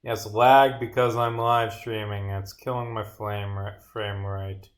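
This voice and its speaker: A man's voice: fairly low pitch, flat delivery, slow. A man who develops games, talking casually on a live stream, often swearing and muttering to himself.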